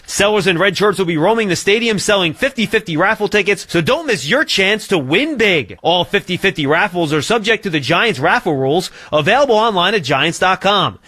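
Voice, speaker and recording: Bright, high-voiced male radio announcer. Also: no room sound. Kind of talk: scripted promotional announcement.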